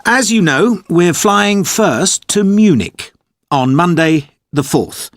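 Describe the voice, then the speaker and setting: Male actor as businesslike tour leader, slightly high voice, methodical briefing, slow pace. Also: expressive, no room sound, clean studio sound, heavily acted. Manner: methodical, clearly enunciated. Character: organised, businesslike male tour organiser briefing his group. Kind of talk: acted informational monologue.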